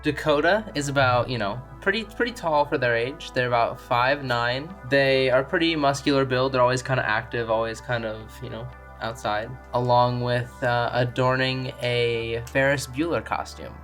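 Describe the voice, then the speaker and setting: A tabletop player with a middling pitch describes the action in a conversational, halting way. The narration is informal and improvised, with music playing underneath. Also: fairly bright tone. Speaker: tabletop role-play player.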